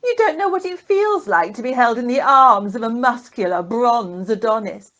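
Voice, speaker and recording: A woman's voice: on the high side, animated, normal speed, a bit bright, lo-fi sound. A woman playing a flirtatious, drink-loving holidaymaker in an amateur radio comedy, gushing and saucy, recorded over a telephone conference. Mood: dramatic, thirsty.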